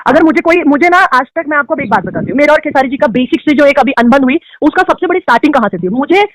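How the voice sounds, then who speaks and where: A woman's voice: high-pitched, animated, quick pace, slight background noise, rough recording. A young woman, an actress, answering interview questions in an outspoken, emphatic way and slipping into English phrases.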